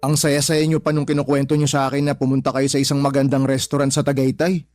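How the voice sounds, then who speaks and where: A man's voice: mid-range voice, completely flat delivery, fast, a little mellow, natural voice. A young man with a Filipino accent acting a concerned, exasperated adult son in a radio drama, blunt and pleading. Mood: worried, coaxing.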